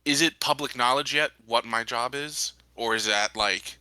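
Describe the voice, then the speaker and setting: A man playing a tabletop role-playing game, improvising his part in a measured, hesitant way. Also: medium pitch, pushed and bright, clean tone, no room sound. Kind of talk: improvised role-play and casual table talk.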